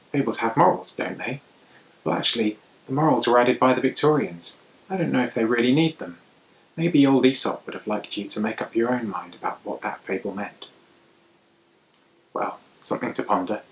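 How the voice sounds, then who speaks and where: Male storyteller, animated children's folktale delivery, hushed asides and theatrical pauses. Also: fairly low pitch, rough recording.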